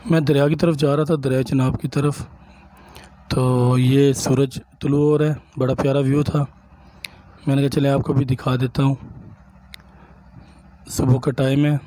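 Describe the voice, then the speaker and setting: A man, a village vlogger from Punjab, calmly explaining sights to viewers while he walks outdoors and films on his phone. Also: medium pitch, slight background noise. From Punjab, Pakistan. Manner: calm, explanatory, addresses viewers directly. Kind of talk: walking tour narration.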